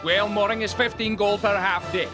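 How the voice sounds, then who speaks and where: A man's voice: high voice, steady tone, moderate pace, over music, lightly acted. A man playing a blunt, wry dock worker. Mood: gruff. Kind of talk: video game voice acting.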